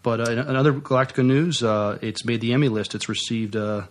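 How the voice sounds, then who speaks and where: A man with an American accent co-hosting a podcast, talking casually in a halting, hesitant way. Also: medium pitch, fairly even intonation. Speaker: podcast co-host. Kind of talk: casual news chat.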